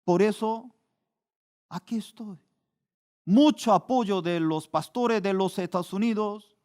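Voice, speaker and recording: A middle-aged man, preaching a sermon in a slow, deliberate way, with sudden loud rises for emphasis. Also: high voice. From Korea. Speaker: pastor.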